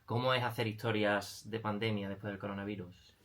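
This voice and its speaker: A man's voice: on the low side, fairly even intonation, fairly quick, a bit bright. A man with an accent from Spain, co-hosting an interview with prepared questions in a conversational tone. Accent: Spanish from Spain. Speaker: radio show co-host, interviewer.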